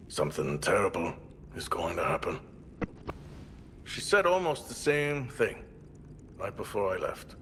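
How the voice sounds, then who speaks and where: A man playing a troubled, guarded space colonist in therapy for a game audio log, speaking haltingly and reluctantly. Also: sits low, fairly bright tone, a little hiss or hum, heavily acted.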